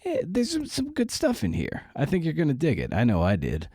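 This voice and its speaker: A man's voice: medium pitch, wildly swooping pitch, brisk, mellow, husky, dry recording, studio quality. A man hosting a podcast in a casual, chatty way with wry, jokey asides. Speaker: podcast host.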